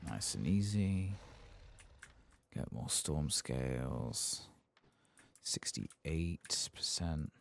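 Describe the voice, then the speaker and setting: A man softly murmuring casual ASMR commentary over a video game, close to the microphone. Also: rumbling low, big pitch swings, extremely slow, gravelly, long pauses. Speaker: gameplay streamer.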